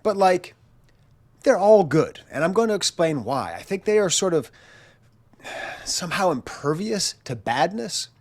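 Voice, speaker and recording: A man's voice: high-pitched, big pitch swings, measured pace, pauses often, a little mellow. A man in his forties with a nasal, congested voice from a chest cold, chatting through a self-mocking story in a casual monologue and acting out quotes. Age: 40s. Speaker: channel host.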